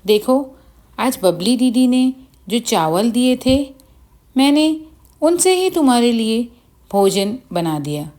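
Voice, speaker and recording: Kind poor village lad , played by a female narrator, slow, reassuring, dutiful, bedtime-tale delivery. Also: on the high side, big pitch swings. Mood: caring. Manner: gentle, reassuring, earnest.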